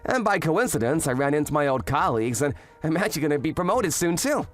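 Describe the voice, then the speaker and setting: A man's voice: on the high side, quick pace. A young man playing an earnest office worker in a manga dub, narrating his story and voicing his lines expressively. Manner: animated, expressive, swings between narration and exclamation. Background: music.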